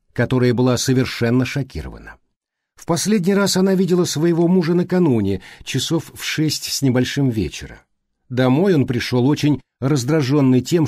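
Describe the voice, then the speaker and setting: A man reading a mystery audiobook as scripted narration, with measured, clearly phrased delivery. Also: medium pitch, mellow, dry recording, clean studio sound.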